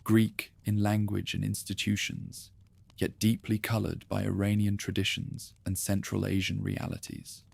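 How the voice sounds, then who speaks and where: A man narrating a calm, scripted history documentary in a soft, even, unhurried way. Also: on the low side, gravelly, breathy edge, dry recording.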